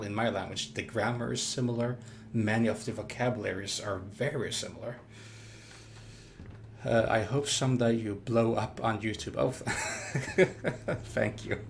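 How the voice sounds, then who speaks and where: Young man, low-ish voice, hesitant live-stream chatter. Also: vocal fry, a bit bright, a little hiss or hum. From Norway. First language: Norwegian. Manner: halting, repeats words, chatty with viewers. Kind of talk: live gameplay commentary.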